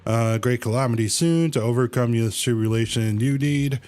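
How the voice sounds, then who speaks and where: A man's voice: mid-range voice, normal speed, darkish tone, natural voice. A man voicing a pushy, grandiose fake fortune teller from a video game, wheedling and pompous. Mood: wheedling, ominous.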